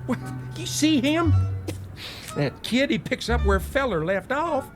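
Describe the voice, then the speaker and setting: Excitable, animated American baseball catcher. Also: male voice, very high voice, a little slow, music in the background, exaggerated voice. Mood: awestruck. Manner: slangy, ungrammatical, breathless amazement. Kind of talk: radio drama acting.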